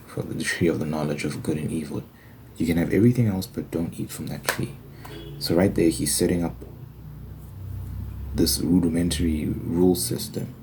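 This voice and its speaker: Deep, dark-toned man in casual ASMR monologue. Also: vocal fry. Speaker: ASMR video creator. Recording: faint background noise.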